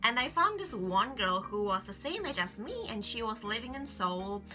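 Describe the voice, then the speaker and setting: Bright chatty woman, English. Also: on the high side, big pitch swings, moderate pace. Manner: chatty, many fillers like "like" and "you know". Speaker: vlogger. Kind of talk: casual advice vlog. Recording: faint music behind.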